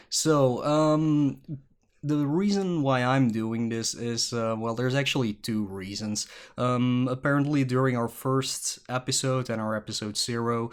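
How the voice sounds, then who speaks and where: A Flemish man, a native Dutch speaker from Belgium, hosting a podcast by himself at a creaky desk in a rambling, jokey, self-mocking way. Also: medium pitch. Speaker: podcast host. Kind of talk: casual solo podcast talk.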